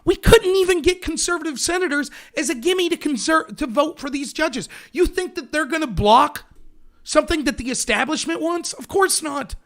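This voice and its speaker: Man, very high-pitched, livestream rant, sarcastic and emphatic. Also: expressive, moderate pace, fairly bright tone. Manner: emphatic, sarcastic, rhetorical questions.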